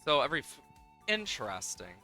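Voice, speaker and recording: A man's voice: mid-range voice, big pitch swings, a little fast, sharp tone. A man giving casual, playful live commentary on a game, thinking aloud as he plays, with game music behind him. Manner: playful, hums and makes sound effects while talking.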